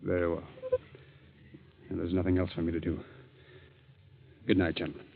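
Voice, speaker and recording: A man's voice: deep voice, level tone, moderate pace, gravelly. A man acting the part of a mild, absent-minded, principled scientist in old radio drama style, flustered and stammering in his protests. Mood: shaken, resigned. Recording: muffled old-time radio recording.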